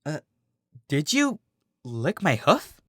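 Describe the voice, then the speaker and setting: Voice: mid-range voice, huge pitch swings, takes it slowly, slightly rough, no echo, studio quality, heavily acted. A performer voicing a mischievous young female cartoon unicorn, smug, playful and teasing.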